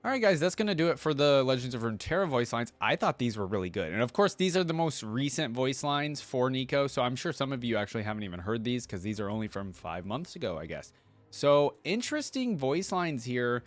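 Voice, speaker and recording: A man's voice: medium pitch, very animated, brisk, no room sound. A man giving casual, chatty and curious live reaction commentary as a video host.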